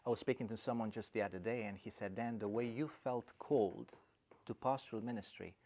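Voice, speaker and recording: Low-voiced man, halting and thoughtful. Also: darkish tone. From Romania. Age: middle-aged. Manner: thoughtful, halting, many fillers and restarts. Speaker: college principal. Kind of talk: interview answers.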